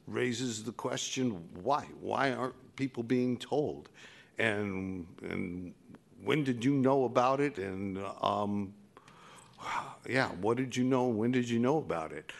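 A man giving a public comment at a board meeting, pressing pointed questions with many pauses and fillers. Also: fairly low pitch, gravelly.

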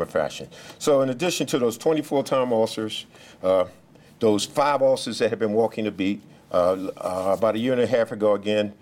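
A police official giving remarks at a press briefing, speaking loudly and haltingly, with many fillers and restarts. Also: male voice, low voice, a little hiss or hum. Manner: speaks loudly without a mic.

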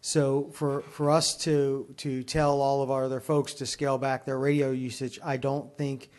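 A man with a mid-range voice speaks as a fire chief at a public meeting. He explains his points formally and in measured phrases, answering questions put to him. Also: medium pitch, level tone, a little mellow.

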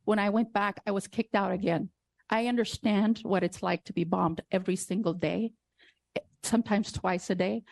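A woman from Afghanistan giving emotional public comment at a city council, pleading with halting restarts. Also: medium pitch, steady tone, creaky, darkish tone, no echo. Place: council chamber.

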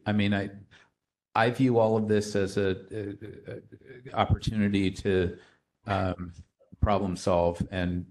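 Low-voiced man chairing a committee. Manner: measured, hesitant restarts. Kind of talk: chairing a public meeting.

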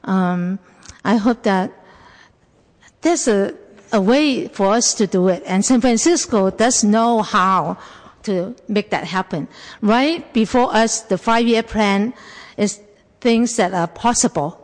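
Woman, slow measured pace, halting public comment, mid pitch, long hall reverb. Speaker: translator and housing advocate at a public hearing.

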